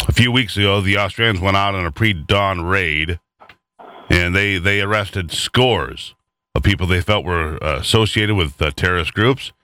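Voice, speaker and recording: A man's voice: low-pitched, moderate pace, pushed and bright, no room sound. A man hosting a news talk show, speaking indignantly and stumbling over his words.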